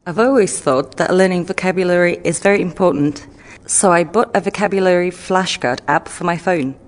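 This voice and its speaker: A woman from Poland with a lowish voice gives her tip plainly. A long reverb trails after her words. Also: fairly quick. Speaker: learner giving a tip. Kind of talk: scripted textbook audio.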